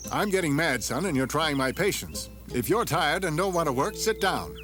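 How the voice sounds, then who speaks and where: A man voicing a stern but caring aging woodcutter father in an animated fairy tale. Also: on the high side, moderate pace. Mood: scolding. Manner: scolding, grumbling, fatherly. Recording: music under the dialogue.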